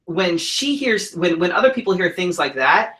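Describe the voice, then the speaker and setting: Brief: male co-host, bright and forceful tone, blunt and profane, indignant delivery. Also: sits high, sing-song, moderate pace. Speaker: co-host, drag king performer. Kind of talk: heated casual chat.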